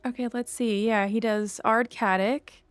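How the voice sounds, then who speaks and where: A woman's voice: a little high, steady tone, unhurried, dry recording. A woman streaming a game, chatting casually and thinking aloud as she plays. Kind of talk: casual livestream chat while playing.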